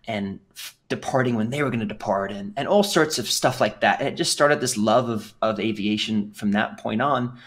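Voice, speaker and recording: A man in his twenties from England, a podcast guest telling his story casually, rambling with lots of fillers and restarts. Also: on the low side.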